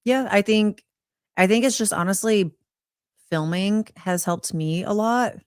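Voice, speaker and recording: A woman's voice: mid-range voice, unhurried, no echo, studio quality. A woman co-hosting a podcast in casual chat, chatty and hesitant, with many "um" and "like". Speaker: podcast co-host.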